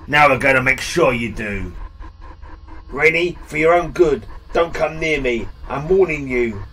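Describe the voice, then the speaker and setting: A man putting on angry male neighbors who shout threats. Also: medium pitch, expressive, a little slow, voice pushed up. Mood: threatening.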